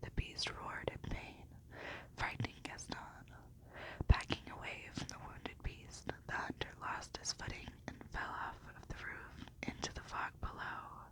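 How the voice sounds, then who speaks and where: A woman reading a storybook in a gentle, unhurried, breathy whisper in an ASMR style. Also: rough recording.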